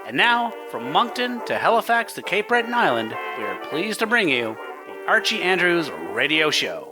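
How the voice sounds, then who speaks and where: A man's voice: high voice, very animated, moderate pace, rough recording. A man announcing in the style of a 1940s radio sponsor read, with music behind.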